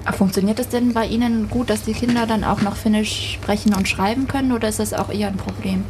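A woman's voice: medium pitch, fairly even intonation, fast, a little mellow, slight background noise. A young adult woman interviewing, asking curious, probing questions.

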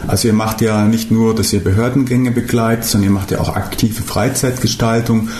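A man hosts a studio radio interview, his voice low and warm. He hesitates, restarts and fills pauses as he talks. The room adds a noticeable echo. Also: steady tone, slight background noise. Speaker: radio host. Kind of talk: radio talk show interview.

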